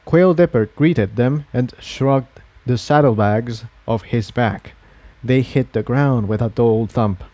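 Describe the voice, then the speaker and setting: A man's voice: mid-range voice, slow, warm tone, no echo. A man reading scripted fiction aloud as its narrator.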